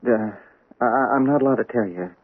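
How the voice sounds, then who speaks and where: A man's voice: mid-range voice, a little fast, gravelly, husky. An actor playing a nervous husband who stammers and stumbles over his words in a radio drama. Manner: stammering, jittery. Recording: tinny old radio broadcast recording.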